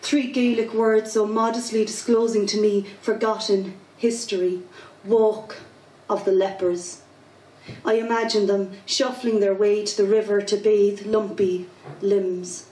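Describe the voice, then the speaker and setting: A Cork Irish woman in her thirties speaks casually and recites poetry with a rhythmic, self-deprecating lilt. She is heard through a handheld mic in a pub, caught from some distance in a roomy, echoing space. Her voice sits at an ordinary middle pitch. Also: level tone, a little slow, a little mellow. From Ireland. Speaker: spoken word poet. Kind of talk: casual interview and poetry performance. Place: pub with small stage. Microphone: handheld mic, far camera. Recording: echoey pub from far off.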